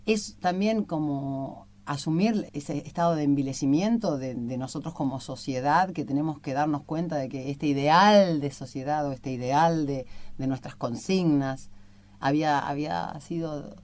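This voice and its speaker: Low-voiced Argentine woman hosting an interview. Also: normal speed, darkish tone. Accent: Argentine, Rioplatense. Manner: effusive, rambling, long-winded questions. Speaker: radio show host and interviewer. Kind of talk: conversational interview.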